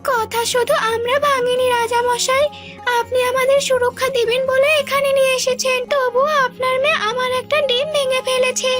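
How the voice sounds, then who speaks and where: A woman's voice: very high voice, flat delivery, normal speed, over music, voice pushed up. A woman voicing a bitter, defiant mother serpent in a cartoon, speaking in formal reproaches. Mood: bitter, accusing.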